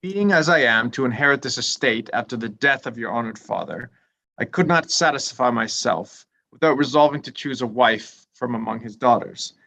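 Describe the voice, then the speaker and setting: A man's voice: medium pitch, moderate pace, gravelly, sharp tone. Man acting a pompous, long-winded young clergyman. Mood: pompous, earnest. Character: pompous, self-important, obsequious young English clergyman. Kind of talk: staged reading of a period drama.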